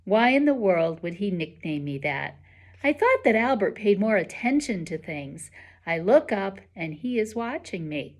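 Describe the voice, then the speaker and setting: A woman teacher reading a children's novel aloud in an expressive storytelling style. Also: mid-range voice, unhurried.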